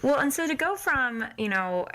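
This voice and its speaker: A woman's voice: sits high, lively intonation, moderate pace, sharp tone. A woman hosting a podcast, interviewing her guest in a casual, conversational way.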